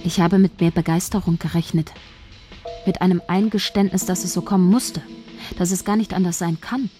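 An actress playing a calculating female writer, narrating in a measured, detached, literary way. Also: lowish voice, fairly even intonation, warm tone, natural voice. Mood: coolly scheming. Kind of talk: radio drama first-person narration. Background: music.

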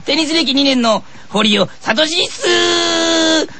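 A brash, eager first-year schoolboy from an anime radio show. The voice is high and hoarse, swinging widely as it shouts out boasts with cartoonish eagerness. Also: male voice, lively intonation, slow pace, no echo, exaggerated voice. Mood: brash, excited. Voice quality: hoarse, strained.

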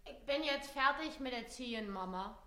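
A pert working-class girl in a German radio drama. Her voice is fairly high and moves animatedly as she speaks with youthful defiance. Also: expressive, moderate pace, sharp tone. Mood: dutiful. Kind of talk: German radio play acting.

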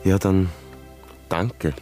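Courteous public-radio presenter, low soft-spoken voice, faint music behind. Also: male voice, steady tone, drawn-out words. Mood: formal, polite. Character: polite, soft-spoken male radio interviewer. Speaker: radio host. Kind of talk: naturalistic radio drama acting.

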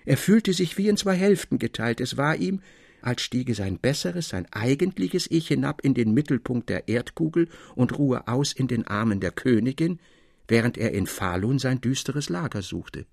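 A man reading a literary tale as an expressive audiobook narrator. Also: medium pitch, moderate pace, creaky, dry recording, studio quality. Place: dry studio.

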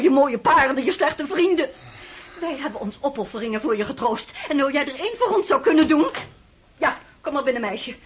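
Actress as a proud worried mother, radio play, husky voice, high pitch. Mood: reproachful. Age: middle-aged. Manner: bitter and reproachful. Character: proud anxious mother, countess of the family. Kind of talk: radio drama acting.

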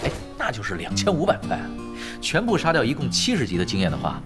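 A man's voice: mid-range voice, wildly swooping pitch, fast talker. An actor playing a laid-back, shameless young male pro gamer who loves money, with a nonchalant, teasing manner, in an audio drama. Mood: playful scheming. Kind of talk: Chinese audio drama acting. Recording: music behind the voice.

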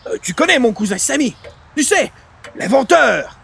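A man playing an excitable, chatty friend in an old-time radio comedy, exclaiming with familiar enthusiasm. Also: very high voice, moderate pace, vocal fry, slight background noise, full character voice. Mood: excited. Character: excitable, chatty friend showing off a silly invention.

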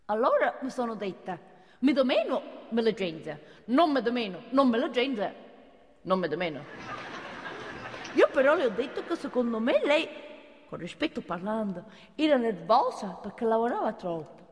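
A woman's voice: a little high, big pitch swings, normal speed. An actress playing a naive, cheerful working-class office woman in a comic stage monologue, with a rambling manner that keeps seeking agreement. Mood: confiding. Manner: rambling, repeats herself, seeks agreement. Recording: reverberant hall, faint background noise.

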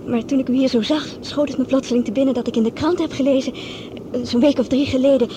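A woman acting as a courteous airline stewardess in a radio drama. Her voice is high and she speaks with hesitant, inquisitive politeness. A loud rumble sits behind every word. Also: steady tone, quick pace, lightly acted. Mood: hesitant, curious. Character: polite, curious young female air stewardess. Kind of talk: Dutch radio play acting.

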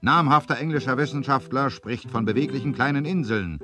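A man as an excited, high-pitched crying newspaper seller. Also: fairly quick, faint music behind, close to an everyday voice. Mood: excited, drawn out. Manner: loud, declamatory street crying.

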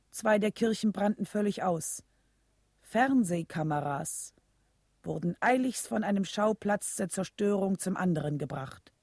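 An actress playing a reflective wife who narrates her husband's struggle in a radio play, with a husky, mellow voice. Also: medium pitch, no room sound, studio quality. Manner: reflective, storytelling.

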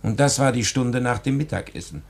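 A man's voice: medium pitch, fairly quick. A man narrating a scripted story in the theatrical, leisurely manner of 1940s radio.

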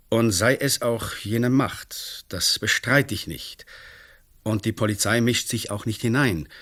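Low-voiced, defensive magistrate. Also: male voice, a bit bright, breathy edge. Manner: formal, measured, placating. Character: flustered, defensive male examining magistrate, stiffly official. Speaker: actor in a radio play. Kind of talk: German-language radio drama acting.

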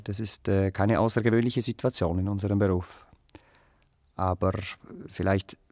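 Male actor as self-important musician, low voice, wordy, hesitant, clinically precise, radio play, dry sound, faint music behind. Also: dry recording, lightly acted. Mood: evasive, self-important. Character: pedantic, self-important musician applying coolly to be executioner. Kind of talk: naturalistic radio play dialogue.

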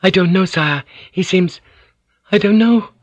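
A man performs a Japanese noblewoman serving as interpreter in a historical audiobook. The voice is lifted high, polite and hesitant. An anxious deference runs through it, in a dry recording. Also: moderate pace, no echo, lightly acted. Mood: anxious, uncertain.

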